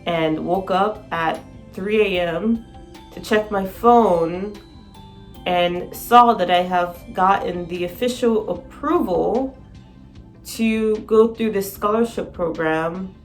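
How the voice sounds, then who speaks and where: A sleep-deprived woman with a raspy voice, chatting excitedly in a casual vlog. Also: medium pitch, slow pace, soft background music. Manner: excited, rambling, laughs often. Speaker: vlogger.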